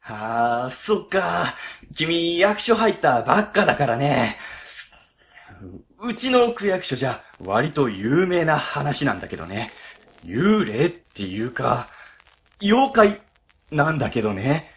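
A man's voice: fairly high pitch, slow pace, full character voice. A man acting a wildly swooping, cowardly office boss. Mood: ominous, hushed. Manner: stammering, excitable, exaggerated frightened delivery. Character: cowardly, dramatic middle-aged male section chief at a ward office. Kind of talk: comedic web radio drama acting.

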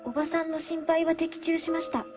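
A woman acts a meek young woman office worker and narrator in a radio drama. Her voice is very high, hesitant and polite, with light music behind. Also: level tone, a little fast. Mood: solemn. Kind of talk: web radio drama acting.

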